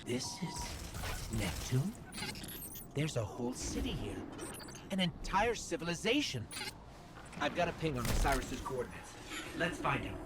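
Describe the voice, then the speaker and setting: A man voicing a small, earnest robot companion in a video game, speaking with expressive urgency. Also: medium pitch, measured pace, long pauses, loud music behind the voice. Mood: awed. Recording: loud game ambience and engine noise.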